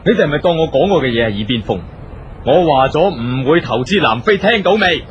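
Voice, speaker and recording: A man's voice: fairly high pitch, animated, a little fast, dull audio, a little hiss or hum, close to an everyday voice. A man with a Hong Kong Cantonese accent playing a stubborn, domineering eldest brother who runs the family company, curt and imperious, in a radio drama. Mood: stern, dismissive.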